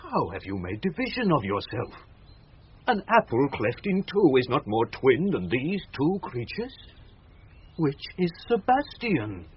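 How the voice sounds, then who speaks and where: An astonished loyal friend in radio Shakespeare. His husky voice swoops wildly as he declaims, on a muffled old broadcast. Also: mid-range voice, wildly swooping pitch, measured pace, heavily acted. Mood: amazed. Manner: incredulous, declamatory. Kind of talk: 1970s British radio Shakespeare acting.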